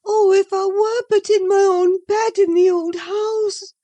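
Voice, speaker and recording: A woman's voice: sits high, slow, mellow, voice pushed up. A woman reading an audiobook, voicing a feverish, delirious young woman who swings between dreaminess and panic. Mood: pleading. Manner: dreamy then panicked, pleading.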